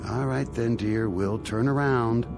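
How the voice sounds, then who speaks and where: A man acting in a radio drama as a mild, agreeable husband gently persuading his wife. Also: medium pitch, a little slow, vocal fry, music in the background. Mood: coaxing. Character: mild, coaxing husband of the future seeking adventure.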